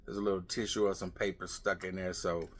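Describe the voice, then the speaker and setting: A man's voice: sits low, level tone, fairly quick, a bit bright. A middle-aged man chatting casually on a vlog, muttering asides in a rambling way as he sorts through things. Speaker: vlog host. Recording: clear background noise with clicking, clattering.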